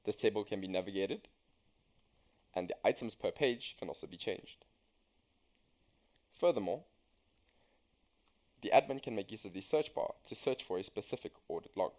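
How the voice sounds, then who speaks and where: A young man with a low-pitched voice narrates a software walkthrough. He reads from a script, step by step, as a student presenting a system. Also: normal speed, pauses often. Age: young adult.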